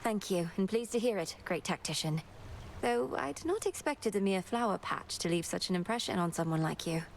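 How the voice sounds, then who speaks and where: A woman's voice: medium pitch, animated, moderate pace, slight background noise, lightly acted, rough recording. A woman voicing an earnest, determined young princess goddess in a video game, courteous, sincere and articulate. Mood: kind.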